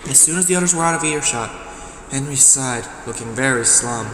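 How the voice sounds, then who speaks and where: A man narrating a dark children's story as scripted audio drama, with dramatic delivery and deliberate pauses. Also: mid-range voice, background noise. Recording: echoey, reverberant hall sound. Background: loud music.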